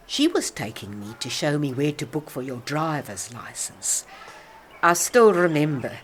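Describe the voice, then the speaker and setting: An actress playing an older South African woman, a proud alcoholic who rambles haltingly and defensively through a radio-play monologue of reminiscence. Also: sits low, slight background noise.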